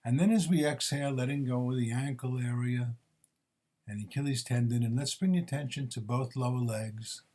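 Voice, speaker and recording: A man leading a guided body scan meditation as a teacher, speaking in measured, gently instructing short phrases with pauses between them. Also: medium pitch.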